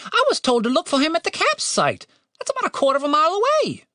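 A woman's voice: sits high, huge pitch swings, fast, sharp tone, dry recording, clean studio sound, pitched above the performer's own voice, heavily acted. A woman voicing a polite, easily flustered male talking bird in an audiobook story, apologetic and chatty. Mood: helpful, chatty.

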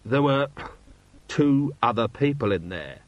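A man's voice: mid-range voice, lively intonation, measured pace, no echo, muffled recording, voice pushed up, lightly acted. A man voicing a weary, dry-humoured male watch commander in a storybook, battered and muttering. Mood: exhausted. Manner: wry, tired, muttering. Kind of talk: audiobook character voice.

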